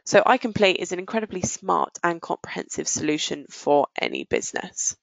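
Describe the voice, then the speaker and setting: A woman presenting a software demo and answering questions as a webinar host, explaining things in business-like phrasing. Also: fairly low pitch, expressive, a little fast, creaky, no room sound, poor audio.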